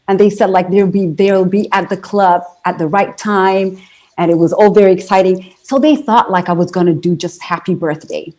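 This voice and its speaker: A woman from Ethiopia whose first language is Amharic, telling her story as an interview guest in a relaxed, conversational way. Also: medium pitch, rough recording. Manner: storytelling, with restarts and fillers. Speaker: interview guest, singer and speaker.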